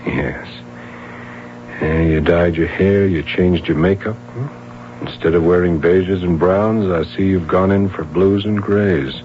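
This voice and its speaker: An actor playing a shrewd, dry-humored police sergeant in a 1970s radio drama, calm and probing, with hesitant fillers. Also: male voice, low-pitched, takes it slowly. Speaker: police detective. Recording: dull old radio broadcast, faint hum.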